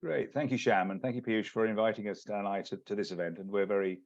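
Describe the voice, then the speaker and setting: A man from the UK with a British accent, giving a measured, businesslike webinar presentation as an executive search partner. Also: lowish voice, steady tone. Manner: measured, businesslike, many restarts. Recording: lo-fi video call audio.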